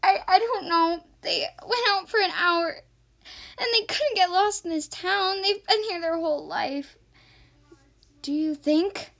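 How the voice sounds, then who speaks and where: A woman's voice: very high-pitched, slow pace, a bit bright, voice pushed up, full character voice. A female performer playing a worried mother in an amateur radio drama, anxious about her girls. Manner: calling out, anxious.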